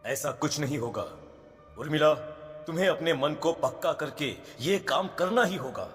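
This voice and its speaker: An actor playing a solemn, commanding priest who instructs ominously in a horror cartoon. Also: male voice, fairly high pitch, expressive, moderate pace, fairly bright tone. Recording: long reverb, faint music.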